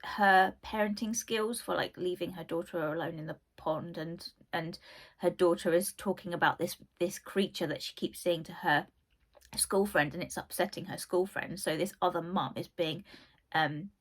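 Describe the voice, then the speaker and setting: Young woman w/ a cold, croaky husky voice, rambly chatty book review at home. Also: lowish voice, steady tone, moderate pace. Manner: chatty, rambling, frequent ums and asides. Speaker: book channel host. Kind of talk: casual book review chat.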